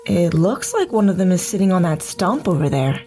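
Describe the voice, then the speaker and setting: An actress playing a gentle young village wife who giggles and speaks earnestly, in an audio drama. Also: female voice, on the low side, big pitch swings, moderate pace, background noise. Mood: calm.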